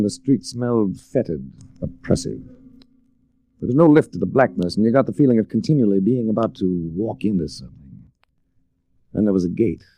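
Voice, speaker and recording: Deep, mellow American radio adventurer. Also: male voice, rough recording. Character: cool, worldly male American adventurer, tough and intrigued. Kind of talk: 1940s radio drama acting and hard-boiled narration.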